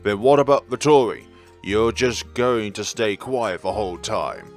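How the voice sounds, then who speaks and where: A man's voice: on the low side, a little slow, soft background music, close to an everyday voice. A man voicing a caring, firm old grandfather in a visual novel, scolding with concern.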